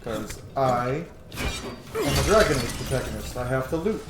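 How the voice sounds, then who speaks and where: A man's voice: medium pitch, big pitch swings, measured pace, heavy background noise. A man giving casual, sweary gameplay commentary in an informal, chatty way. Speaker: video game player commentating. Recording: echoey room, game sounds behind.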